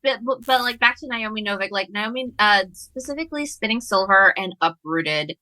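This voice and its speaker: A woman's voice: mid-range voice, animated, normal speed, pushed and bright, no room sound. A woman speaking as a guest author in a casual discussion about books.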